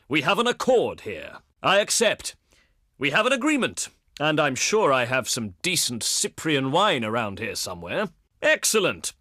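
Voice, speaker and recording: An actor playing a proud, cultured, wry ancient Greek diplomat in a video game, speaking in formal, flowery and pompous oratory. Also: male voice, on the high side, bright, forceful tone, dry recording, clean studio sound, full character voice. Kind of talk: theatrical game diplomacy voice lines.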